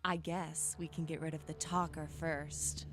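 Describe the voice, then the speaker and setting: A woman's voice: sits low, little pitch movement. A woman playing a vain, hungry siren from the sea in an audio drama, taunting and menacing. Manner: slow, taunting, menacing, hissing. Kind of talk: scripted audio drama acting. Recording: music under the voice.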